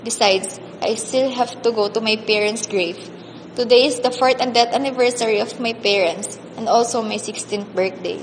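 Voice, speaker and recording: A woman's voice: a little high, normal speed, close to an everyday voice. A woman with a Filipino accent playing an orphaned, bullied teenage girl in an amateur radio drama, earnest and melodramatic. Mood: wounded, mournful. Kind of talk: amateur radio drama, first-person emotional narration. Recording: reverberant hall, audible background hiss.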